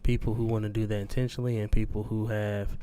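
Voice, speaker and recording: Lowish-voiced man in casual talk. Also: little pitch movement, quick pace, mellow, a little hiss or hum. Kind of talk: casual group discussion.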